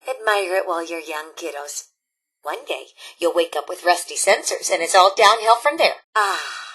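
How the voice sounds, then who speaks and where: A woman voicing a cheery, motherly elderly robot scout leader in a video game, with a folksy American Upper Midwest accent and a husky edge. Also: a little high, bright, forceful tone, exaggerated voice, rough recording. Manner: folksy, chirpy, sing-song, fussing over campers. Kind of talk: video game character acting.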